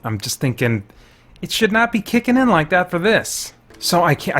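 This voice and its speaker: A man's voice: mid-range voice, a bit bright. A man giving casual video game commentary as a reviewer, thinking aloud in informal language with mild exclamations.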